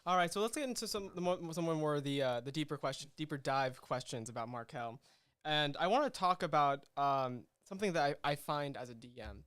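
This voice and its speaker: A man hosting a casual livestream interview, speaking in a halting, rambling way as he works toward his questions. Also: a little high, lively intonation, a bit bright, smooth. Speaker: host and game master.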